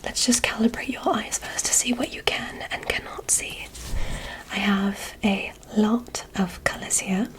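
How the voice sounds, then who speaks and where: A woman voicing a curious, polite alien examiner in a whispered ASMR roleplay, speaking in a soft, deliberate, clinical manner. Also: mid-range voice, lightly acted, low-quality recording. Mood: curious, gentle.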